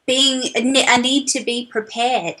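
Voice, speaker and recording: A woman's voice: a little high, steady tone, unhurried, bright, forceful tone, rough recording. A woman interviewing a guest over a video call, enthusiastic and chatty. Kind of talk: friendly interview questions.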